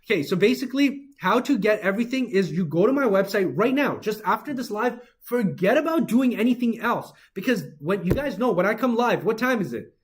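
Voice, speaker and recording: A man's voice: high-pitched, animated, brisk, hardly any pauses, breathy edge. A man hosting an informal trading livestream, hyping with catchphrases and answering viewer comments as he goes. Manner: hype-driven, informal, repeats catchphrases. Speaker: livestream host, trader. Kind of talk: live-stream sales pitch and chat replies. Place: office.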